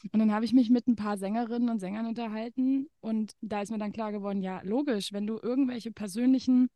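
A woman hosting a podcast and chatting casually with her guest, laughing often and filling pauses with many fillers. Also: on the high side, fairly even intonation, warm tone, no echo. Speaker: podcast host. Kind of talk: casual interview chat.

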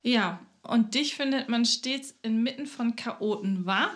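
A woman's voice: on the high side, measured pace. A woman rehearsing audio drama lines as an amateur voice actor, easily amused and apologetic between takes. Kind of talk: rehearsing script lines, outtakes.

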